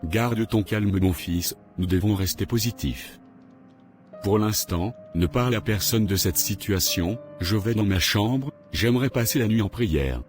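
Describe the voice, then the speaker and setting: A man's voice: on the low side, a little slow, faint music behind, close to an everyday voice. A man playing earnest devout father. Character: devout middle-aged father.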